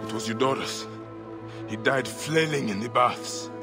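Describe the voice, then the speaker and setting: Ancient Egyptian warrior male actor, grim and vengeful, unhurried delivery, loud score underneath. Video game drama. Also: mid-range voice, natural voice. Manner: solemn, deliberate. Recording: loud cinematic music behind.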